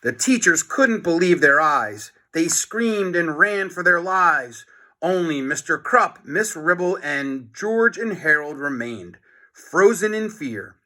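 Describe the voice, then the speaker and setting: A man reading a children's book aloud in an expressive, dramatic narration, with asides to young listeners. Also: fairly high pitch, slow pace.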